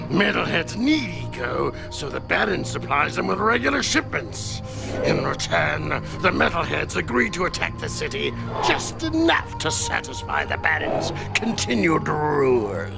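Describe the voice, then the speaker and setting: A man's voice: a little high, huge pitch swings, a little slow, gravelly, loud music behind the voice, full character voice, low-quality recording. A man voicing a greedy, gluttonous crime boss in a video game, scheming and blustery, ending remarks with "eh?". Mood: gruff, menacing. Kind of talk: video game cutscene acting.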